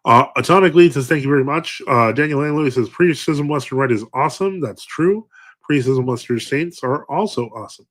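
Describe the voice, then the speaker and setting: A man's voice: medium pitch, fairly quick, fairly bright tone. A man, addressed as a priest, hosting a live stream, reading chat comments aloud and answering them with frequent fillers and restarts. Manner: hesitant, many fillers and restarts.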